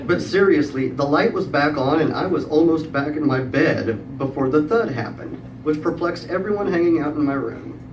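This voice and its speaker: A middle-aged man tells a humorous story live, in a prepared style with wry, dry wit and comic pauses. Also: on the high side, animated. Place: live event room with audience. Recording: echoey room, distant mic, clear background noise.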